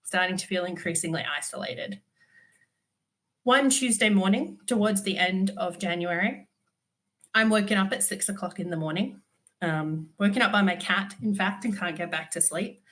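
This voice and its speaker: A woman's voice: medium pitch, moderate pace, fairly bright tone. Stuffy woman, dry humour. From Australia. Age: 30s. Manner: candid, dryly humorous, frequent ums. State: stuffy from a cold. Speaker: conference speaker. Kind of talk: virtual conference talk.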